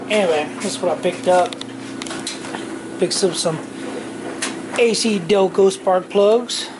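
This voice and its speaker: A middle-aged man rambles slowly through a casual haul vlog, trailing off and correcting himself as he goes. He is filming in his home garage on a handheld camera. There is a steady hiss of noise under the voice. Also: sits high. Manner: rambling, slow and offhand, with many fillers and self-corrections. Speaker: channel host. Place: home garage workshop, indoors. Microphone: handheld camera mic, filming point of view.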